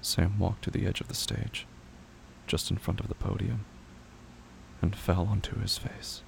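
A man playing the brooding narrator of a religious horror audio drama, speaking slowly and solemnly with intensity, at times near a whisper. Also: low-pitched, little pitch movement, gravelly, slight background noise, full character voice. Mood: solemn, tormented. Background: music with guitar.

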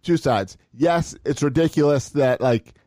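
A man co-hosting a podcast, casually steering the sports talk with calm agreement. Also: fairly high pitch, measured pace, no echo, studio quality. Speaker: podcast co-host.

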